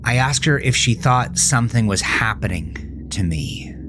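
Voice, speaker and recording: Man voicing brooding teenage horror narrator, unhurried. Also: medium pitch, animated, lightly acted. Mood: uneasy, confiding. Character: troubled teenage boy narrating his own horror tale. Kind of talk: dramatic first-person horror audio narration. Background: music.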